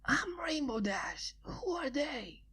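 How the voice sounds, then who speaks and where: A woman's voice: high-pitched, expressive, takes it slowly, a bit bright, pitched above the performer's own voice, heavily acted. A woman voicing a brash, cocky young female pegasus pony in a fanfic reading. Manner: brash, demanding questions. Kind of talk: fanfic reading, all voices by one reader.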